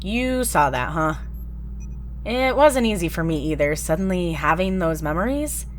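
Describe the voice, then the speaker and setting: A woman's voice: lowish voice, expressive, measured pace, slight background noise. A woman voicing an earnest female rabbit prosecutor in a fan audio drama.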